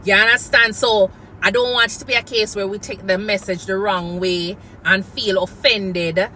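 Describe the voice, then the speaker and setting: Jamaican woman with a bright, earnest voice. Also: mid-range voice, fairly even intonation, moderate pace, a little hiss or hum. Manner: earnest, preachy, slips into patois phrases. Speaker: nurse and nursing coach. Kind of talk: casual vlog talk to camera.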